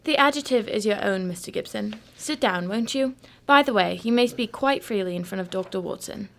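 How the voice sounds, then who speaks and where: A woman plays a cool, analytical female detective in a radio drama. Her medium-pitched voice has plenty of vocal fry. She talks formally and precisely, in measured phrases. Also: animated, natural voice. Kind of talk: amateur radio drama acting.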